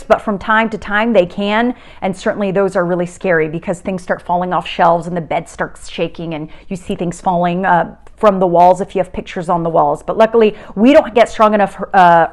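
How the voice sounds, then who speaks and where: A middle-aged woman from South America, a TV meteorologist giving a casual science lesson from home, chatty and upbeat with frequent filler "uh"s and restarts. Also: fairly low pitch. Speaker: TV meteorologist teaching a kids' lesson.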